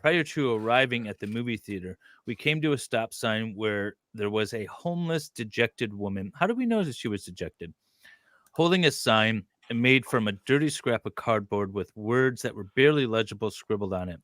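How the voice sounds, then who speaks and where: A man with a bright, forceful voice and a mid-range pitch reads aloud as a co-host. The sound is dry and close, and his delivery moves between recitation and casual, sardonic asides. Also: lively intonation, normal speed, no room sound. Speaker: podcast co-host reading a document aloud. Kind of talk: dramatic reading with casual asides.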